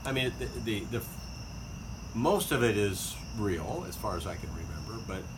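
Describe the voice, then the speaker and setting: An older man reminiscing casually as a guest, halting and restarting as he talks. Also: fairly low pitch, very animated. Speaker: guest, father of the poet. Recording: noisy ambience with chirping crickets. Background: crickets and insects.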